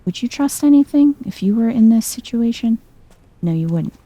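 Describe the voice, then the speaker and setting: A soft-spoken woman giving hushed ASMR-style game commentary, musing to herself in an unhurried, breathy way and often dropping into a whisper. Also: on the high side, animated. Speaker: video game streamer, let's player.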